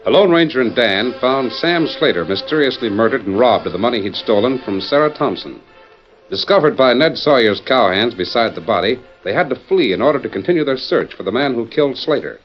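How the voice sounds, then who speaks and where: A man with a mid-pitched voice narrates an old radio serial in formal, dramatic style. The sound is muffled, and music plays clearly behind him. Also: lively intonation, fairly quick. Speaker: announcer and narrator.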